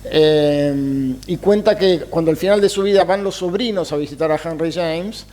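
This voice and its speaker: Soft-chuckling Argentine man, higher voice. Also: normal speed. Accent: Argentine Spanish. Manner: hesitant, many fillers, soft chuckles. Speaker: interview guest, writer and mathematics teacher. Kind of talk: reflective interview answers.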